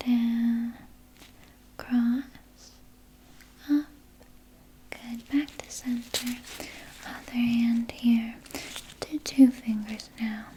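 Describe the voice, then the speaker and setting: A woman voicing a soothing, sly vampire nurse in a gentle, breathy ASMR whisper, reassuring a patient with small hesitant pauses. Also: fairly high pitch, flat delivery, a little hiss or hum, low-quality recording. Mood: gentle, clinical. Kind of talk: ASMR personal attention roleplay.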